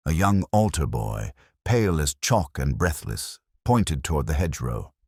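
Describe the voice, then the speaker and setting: A man's voice: rumbling low, very animated, moderate pace, darkish tone, breathy edge, dry recording, clean studio sound. A man reading a scripted detective mystery as a narrator, in storytelling audiobook style.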